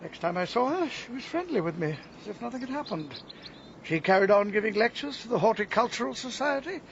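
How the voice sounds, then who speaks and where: Wounded village scoutmaster, high voice, bitter, deliberate, radio drama, muffled sound. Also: male voice, animated, creaky. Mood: quietly vengeful. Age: middle-aged. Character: aggrieved, vengeful middle-aged village gardener and scoutmaster. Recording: dull sound, faint background noise.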